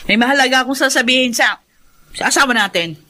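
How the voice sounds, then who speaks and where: An actress playing a resentful, sharp-tongued middle-aged mother who scolds bluntly in a Filipino radio drama. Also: high voice, fast. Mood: irritated, scornful.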